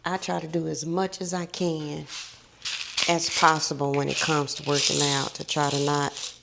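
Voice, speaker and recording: A woman's voice: low voice, flat delivery, moderate pace, gravelly. A middle-aged woman vlogging to her viewers, chatty and drawn out, calling them honey and baby, her voice a little tired and scratchy. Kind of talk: casual chatty vlog.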